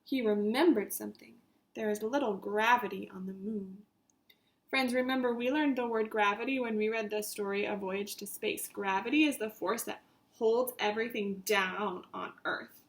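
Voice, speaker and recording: A woman reading a children's story aloud in an animated, deliberate teacher's way, addressing her young listeners directly. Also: medium pitch.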